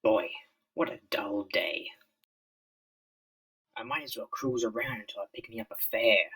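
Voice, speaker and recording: A man's voice: on the low side, wildly swooping pitch, measured pace, vocal fry, sharp tone, recorded from a distance, slight background noise, heavily acted, low-quality recording. A man voicing an ordinary, easily spooked city cab driver in a comic-book reading. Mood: bored. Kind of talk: dramatic comic-book voice acting.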